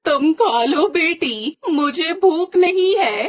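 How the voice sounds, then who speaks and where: Woman doing a tired mother sparrow, high voice, slow and weary. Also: expressive, full character voice. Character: weak, grieving mother sparrow.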